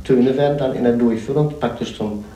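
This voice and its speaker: A man's voice: medium pitch, a little fast, warm tone, far from the mic, a little hiss or hum. A man explaining a piece of music off the cuff, singing out themes on simple syllables as examples. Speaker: interviewee explaining a symphony. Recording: roomy sound with faint music.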